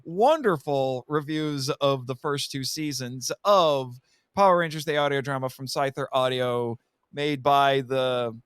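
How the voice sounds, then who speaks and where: A man's voice: mid-range voice, a little slow, a bit bright, smooth, no room sound. A man chatting casually as a podcast guest, telling things in a rambling, theatrical way.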